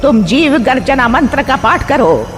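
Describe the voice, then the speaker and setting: A woman's voice: high voice, sing-song, a little slow, soft background music. A woman voicing a stern old witch mother-in-law in a horror cartoon, commanding and sure of herself. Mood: threatening, commanding. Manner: commanding, threatening, assured. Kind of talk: Hindi horror cartoon dialogue. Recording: long hall reverb, background noise.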